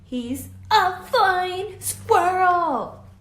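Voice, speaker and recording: A woman reading a picture book aloud to children as a teacher, dramatic and expressive, pausing to ask her listeners questions. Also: very high voice. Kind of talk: animated children's read-aloud with asides to listeners. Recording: roomy echo with faint background noise.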